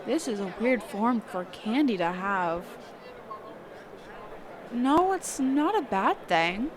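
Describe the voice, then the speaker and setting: A woman playing a sheltered, curious mage teacher in a conversational roleplay audio drama, halting and often correcting herself. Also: on the high side, animated, background noise. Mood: surprised, curious. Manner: halting, hesitant, trailing off and self-correcting.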